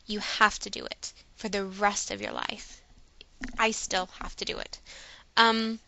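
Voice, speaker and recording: A woman's voice: medium pitch, level tone, a little slow, bright, forceful tone. A woman vlogger talking casually and personally, giving earnest, emphatic and encouraging advice.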